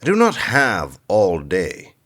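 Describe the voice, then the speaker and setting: A man's voice: fairly low pitch, wildly swooping pitch, slow, a bit bright, heavily acted. An impatient, imperious king. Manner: commanding, skeptical. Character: impatient king, grave and probing.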